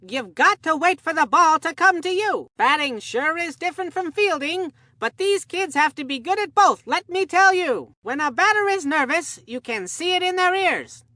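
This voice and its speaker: An actor voicing an excitable, absent-minded kids' baseball game commentator who rambles in an earnest, folksy way. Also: high voice, dry recording, studio quality. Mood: upbeat, folksy. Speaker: sports commentator. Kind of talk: cartoon video game sports commentary.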